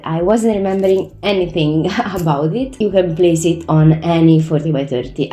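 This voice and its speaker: A woman's voice: lowish voice, warm tone, roomy sound. Congested woman with an Italian accent chatting. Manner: chatty, self-correcting, with little laughs. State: congested from a cold. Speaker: Sims builder, channel host. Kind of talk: casual vlog chat. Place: room at home. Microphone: new microphone.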